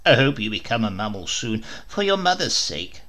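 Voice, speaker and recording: A man's voice: on the low side, big pitch swings, normal speed, bright, forceful tone, pitched below the performer's own voice. A man voicing a stern, noble old king who scolds and mocks his spoiled son in a storybook reading. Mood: scornful.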